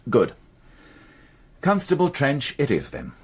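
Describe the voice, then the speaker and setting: A man's voice: medium pitch, wildly swooping pitch, extremely slow, breathy edge, exaggerated voice. An actor playing an irritable, sardonic male police inspector in a radio crime drama. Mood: defensive. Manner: curt, exasperated, sardonic.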